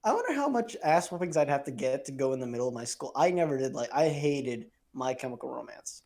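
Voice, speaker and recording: A man's voice: mid-range voice, lively intonation, fast talker, darkish tone. A young man co-hosting a podcast, chatting crudely and casually, rambling, swearing and trailing off mid-sentence. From Filipino heritage. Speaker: podcast co-host.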